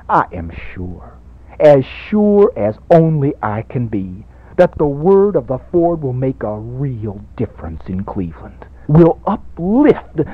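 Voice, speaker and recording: A man's voice: mid-range voice, breathy edge. A man playing a grandiose, money-hungry evangelist in a parody radio sermon, with an emphatic, repetitive preacher's cadence. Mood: fervent exhorting. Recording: narrow-band 1980s college radio recording.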